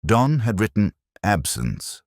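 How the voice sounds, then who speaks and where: A man narrating a literary mystery in the first person, in a measured, melancholy and solemn way. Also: fairly low pitch, big pitch swings, a little mellow, dry recording, clean studio sound.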